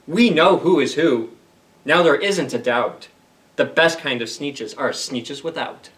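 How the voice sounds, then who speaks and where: A man's voice: fairly high pitch, unhurried, a bit bright. A haughty storybook creature with a beak, acted out by a man reading aloud, exclaims in a raised voice. It is indignant and boastful, stretching its words with snooty pride. The recording is slightly distant.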